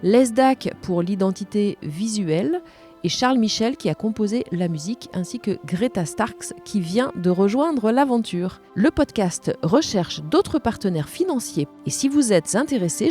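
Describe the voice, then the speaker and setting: Female podcast host, soft dark timbre, mid pitch, measured presenting style.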